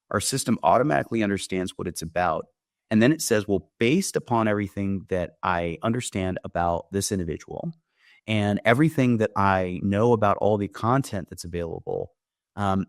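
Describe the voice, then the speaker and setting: Deep, creaky-voiced man explaining. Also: no echo, studio quality. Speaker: company founder giving a software demo. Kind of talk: conversational product demo.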